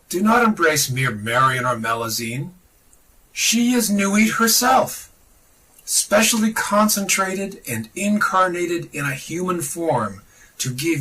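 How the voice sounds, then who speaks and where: A man's voice: medium pitch, bright, forceful tone, distant mic, poor audio. A man giving a solemn, oratorical reading of a scripted religious text, declamatory and reverent, with emphatic phrasing. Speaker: reader of a religious text on a podcast.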